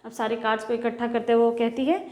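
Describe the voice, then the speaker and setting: A woman's voice: a little high, flat delivery, fast talker, darkish tone. Woman teaching English in an echoey room. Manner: explains in Hindi, mixing in English words. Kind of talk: bilingual classroom lecture.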